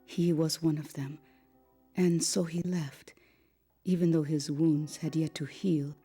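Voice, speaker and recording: Wistful woman ex-pilot, often hushed. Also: low voice, fairly even intonation, slow, slightly rough, faint music behind, full character voice. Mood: grieving, resigned. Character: grieving former female fighter pilot, reflective and resigned. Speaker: former wingman recounting the war. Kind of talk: dramatic documentary-style testimony.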